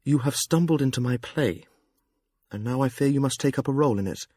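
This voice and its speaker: A man's voice: medium pitch, fairly quick, dark tone, dry recording, voice pushed up, natural voice. A man narrating a storybook and voicing a lighter-toned disguised persona who sounds frightened and earnest. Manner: earnest, anxious.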